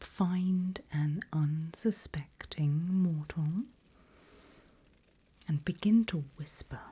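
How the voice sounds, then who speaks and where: A woman plays a sly demon mentor in a very breathy, husky ASMR whisper. Her voice is low and soft, delivered slowly and deliberately with teasing menace, right up close to the microphone. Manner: slow, deliberate, playful menace. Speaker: ASMR roleplay performer.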